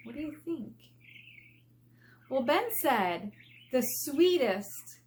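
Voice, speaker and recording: A woman reads aloud as an elementary teacher would, at a slow, deliberate pace. She is expressive, leaning on important words and putting questions to her young listeners. Her voice sits at a medium pitch, with faint noise behind it. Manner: expressive, asking the listeners questions, emphatic drawn-out key words. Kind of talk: read-aloud lesson for children.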